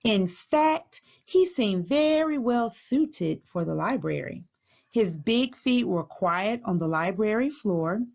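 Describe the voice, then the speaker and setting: A woman reading a children's storybook aloud as a teacher would, clearly, deliberately and expressively. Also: mid-range voice, lively intonation, a little mellow, dull audio.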